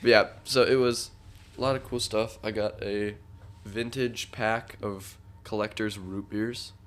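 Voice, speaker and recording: A man's voice: lowish voice, fairly even intonation, a little slow, slight background noise. A young man co-hosting a casual podcast, nasal and stuffed up, trading dry, playful banter. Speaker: podcast co-host. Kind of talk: casual chat.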